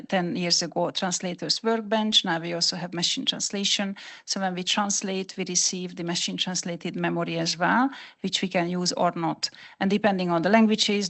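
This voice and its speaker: Gravelly-voiced woman, Hungarian native speaker. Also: lowish voice, fairly bright tone. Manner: earnest, explanatory, many restarts. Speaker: panelist. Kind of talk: panel discussion answer.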